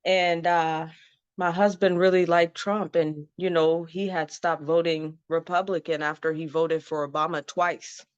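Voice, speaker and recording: A woman's voice: fairly low pitch, steady tone, a little slow, smooth, dry recording. A woman in her thirties from Zimbabwe, frankly telling her own story as an interview guest, often laughing and saying "um" and "you know". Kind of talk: candid personal story, casual. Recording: dull lo-fi dry sound.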